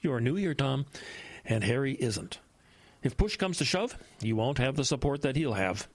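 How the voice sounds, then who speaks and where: Man playing an animated, impatient senior pastor. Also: mid-range voice, moderate pace, no room sound, natural voice. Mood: stern admonishing. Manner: admonishing, impatient. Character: brisk, pragmatic senior male pastor, impatient and stern. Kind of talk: horror audio drama acting.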